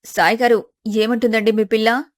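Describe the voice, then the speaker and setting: A woman storyteller plays a caring, bossy young woman in a family tale. She speaks in a fairly high voice, scolding and advising like a loyal friend. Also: level tone, normal speed, dry recording, clean studio sound, close to an everyday voice. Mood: teasing, scolding.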